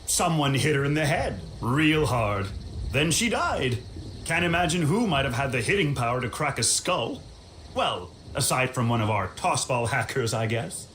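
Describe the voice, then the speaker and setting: A man's voice: mid-range voice, very animated, moderate pace, a bit bright, a little hiss or hum. An actor playing a cheerful male orchard worker for a company, talking in folksy, overly upbeat sales patter.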